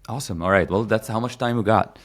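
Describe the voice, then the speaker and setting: A man's voice: lowish voice, fast talker. A man in his thirties from Egypt, a podcast guest talking casually with lots of fillers and restarts. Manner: rambling, many fillers and restarts. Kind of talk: casual conversation.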